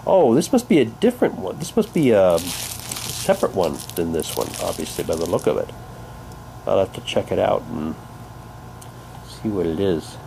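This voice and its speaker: A man's voice: low-pitched, big pitch swings, moderate pace, gravelly, pauses often, dark tone, a little hiss or hum, poor audio. A man giving casual, unscripted unboxing commentary, rambling and repeating himself, recorded indoors on a handheld camera's microphone. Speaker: hobbyist vlogger. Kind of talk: casual unboxing commentary, sometimes reading aloud. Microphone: handheld camera's built-in microphone.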